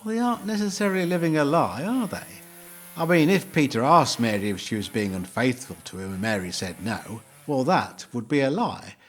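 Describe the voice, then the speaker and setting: A middle-aged man playing a mild, slow-witted, pedantic husband in a comic audio play, hesitant, literal-minded and polite. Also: medium pitch, expressive, natural voice. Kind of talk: two-hander comic audio play dialogue.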